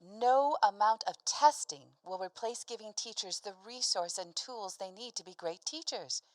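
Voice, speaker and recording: Audio play: earnest female union president, acted by a woman, mid-pitched, studio-dry, measured scripted delivery. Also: no room sound, close to an everyday voice, poor audio. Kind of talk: scripted prepared statement.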